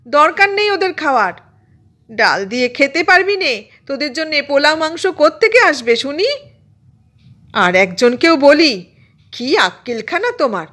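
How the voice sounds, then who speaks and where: A woman's voice: high-pitched, sharp tone, pitched above the performer's own voice, full character voice. A woman voicing an ailing, exasperated mother from a poor household, scolding and complaining in a storybook reading.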